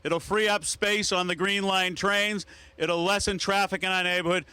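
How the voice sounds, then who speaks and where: A man's voice: high-pitched, fairly even intonation, normal speed, bright, forceful tone, smooth, no room sound. A man speaking as a state representative at an outdoor public event, emphatic and fond of repeated phrases. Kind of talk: prepared public speech.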